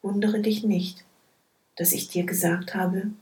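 A woman reading Bible passages aloud in a soft, hushed, measured way. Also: medium pitch, flat delivery, rough recording. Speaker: scripture reader. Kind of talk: slow, quiet Bible reading.

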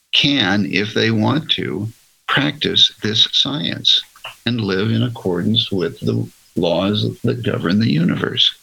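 A man explaining his views earnestly and haltingly in a religious group discussion. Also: mid-range voice, vocal fry, bright, forceful tone, breathy edge, poor audio.

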